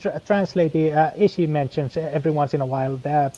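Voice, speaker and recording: A man whose first language is Finnish chats as a guest on a stream. He speaks haltingly, filling the gaps as he hunts for words, in a slightly high voice. The sound comes through dull and lo-fi. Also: dark tone. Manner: halting, full of fillers and restarts while searching for words. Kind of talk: casual live-stream chat.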